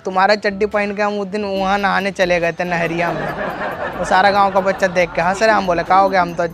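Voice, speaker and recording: A young man with a noticeably high voice and a Bhojpuri accent talks in a cheeky, rustic, teasing way, as a prankster chatting on the phone. He is recorded outdoors, with music underneath. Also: flat delivery, brisk, a crowd in the background. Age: young adult. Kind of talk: staged loud phone chat for a street prank. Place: outdoors in a leafy park.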